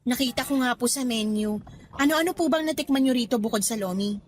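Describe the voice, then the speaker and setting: A woman's voice: fairly high pitch, fairly quick, lightly acted. An actress playing a cheerful, respectful young working woman in a Tagalog radio drama, speaking politely to an elder. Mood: bright, curious.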